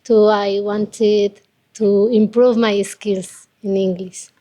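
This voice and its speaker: Slow, hesitant woman with Colombian accent. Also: mid-range voice, level tone, warm tone. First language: Spanish. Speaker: international student interviewee.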